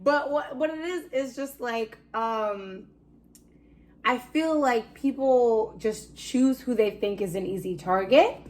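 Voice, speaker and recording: Animated young woman telling stories. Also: a little high, takes it slowly. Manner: animated storyteller, laughs often, loud voice. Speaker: host. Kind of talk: casual chat.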